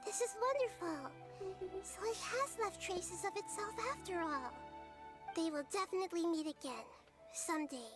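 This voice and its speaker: A woman voicing a gentle, wise child-sized goddess in a video game, soft and childlike, speaking in a measured, compassionate way. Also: very high voice, lively intonation. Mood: hopeful. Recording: game music over the voice.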